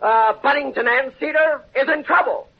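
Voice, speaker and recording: An actor playing an indignant, agitated law-firm partner who blusters, in a 1930s radio comedy-drama. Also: male voice, very high-pitched, normal speed, creaky, dull audio.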